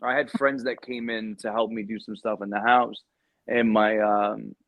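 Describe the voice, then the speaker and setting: A man's voice: lowish voice, flat delivery, moderate pace, creaky, a bit bright, no echo. A man with a Brooklyn accent, from New York, chatting casually on a podcast and telling stories. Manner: storytelling, lots of "like". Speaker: podcast guest. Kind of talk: casual chat.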